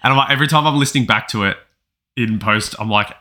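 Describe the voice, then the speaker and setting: A young man with a deep, bassy voice, co-hosting a podcast in casual chat with lots of restarts. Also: quick pace, pushed and bright. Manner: rambling, many restarts and fillers. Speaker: podcast co-host.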